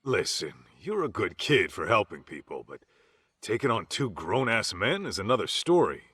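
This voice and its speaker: An actor with an American accent playing a gruff, laid-back middle-aged ex-yakuza, blunt and slangy, in a game dub. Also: male voice, on the low side, sing-song, moderate pace, fairly bright tone, no room sound, studio quality, full character voice. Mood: puzzled. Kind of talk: English video game dub acting. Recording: music behind the voice. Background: game music.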